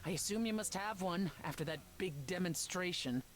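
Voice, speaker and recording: A woman's voice: fairly low pitch, normal speed, a little hiss or hum, close to an everyday voice. An actress playing an imperious, indignant goddess queen in a dramatic video game scene. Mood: indignant, cutting.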